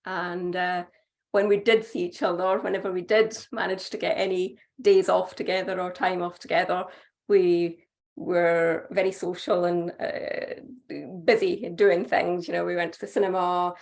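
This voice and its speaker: Scottish woman, 30s, gravelly edge, chatty guest on a video call. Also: lowish voice, flat delivery, moderate pace, darkish tone. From Scotland. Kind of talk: casual chat on a video call.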